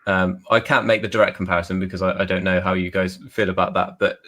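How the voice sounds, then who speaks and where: A man from Somerset with a softened West Country English accent, chatting casually as an interview guest, self-deprecating and trying to sound well spoken. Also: sits low, little pitch movement, quick pace. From Somerset, England. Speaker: guest author.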